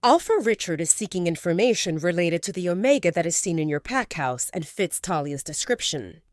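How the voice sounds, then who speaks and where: A woman voicing a calm, persuasive male alpha of a rival pack who talks in a measured, reasoning way. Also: on the low side, no room sound, clean studio sound, natural voice.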